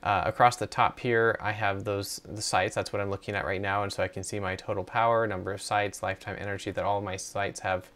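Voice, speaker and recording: A man's voice: fairly low pitch, little pitch movement, brisk, few pauses, a bit bright. A middle-aged man giving a casual screen walkthrough in a hesitant, conversational way. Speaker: tutorial presenter.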